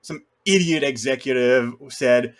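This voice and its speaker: A man in a casual film discussion, talking bluntly and griping in an animated way with mild swearing. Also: mid-range voice, unhurried. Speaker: podcast co-host.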